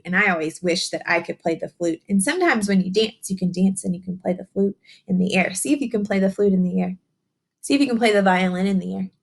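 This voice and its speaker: A woman reads to young children in a teacherly way. Her voice sits at a middle pitch with a slightly husky, unpolished edge. She speaks simply and encouragingly, moving between storybook reading and chatty explanation. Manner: encouraging, addresses young listeners with simple questions. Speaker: teacher reading a picture book aloud to children. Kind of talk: children's read-aloud with chatty explanations and questions.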